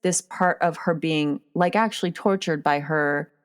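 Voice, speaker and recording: A woman with a low voice talks through a film in a casual discussion. She is emphatic and opinionated, dropping swear words freely as she makes her points. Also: measured pace. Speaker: podcast co-discussant.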